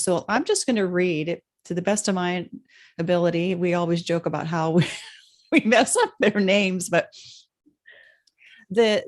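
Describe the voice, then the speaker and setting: A woman co-hosting a casual spiritual chat, hesitant, with many fillers, stumbling over names. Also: lowish voice. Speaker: podcast co-host.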